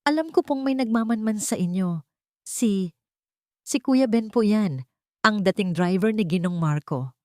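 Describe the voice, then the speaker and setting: A woman's voice: mid-range voice, animated, a little slow, pauses often, no room sound, studio quality, pitched above the performer's own voice, natural voice. A woman voicing a loyal, secretive man in a drama story, cautious and respectful as he explains. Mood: wary.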